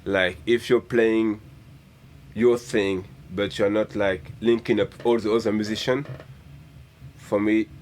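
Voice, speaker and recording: A man, raised in France with roots in Guadeloupe, earnestly makes his point and keeps coming back to it. His voice is low, with a light bed of room noise. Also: level tone, slow pace, a little mellow. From Guadeloupe, grew up in France. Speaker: bass player interviewed by bandleader. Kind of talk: casual interview chat.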